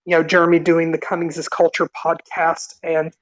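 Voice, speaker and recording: A man co-hosting a podcast, politely reading out show plugs in an informal, halting way. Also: fairly high pitch, completely flat delivery, dry recording, poor audio. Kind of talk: informal announcement of show schedules.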